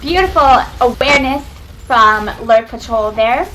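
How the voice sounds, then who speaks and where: A young woman giving excitable, chatty live commentary on a video game match, talking to her viewers from home on a webcam microphone. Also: fairly high pitch, unhurried, rough recording. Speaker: esports caster. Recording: webcam mic with faint noise.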